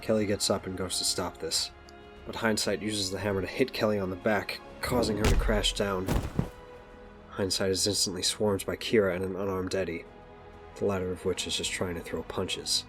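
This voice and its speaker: A man with a low voice reads scripted narration for an audio drama. Faint music plays under his storytelling. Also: flat delivery, normal speed, fairly bright tone.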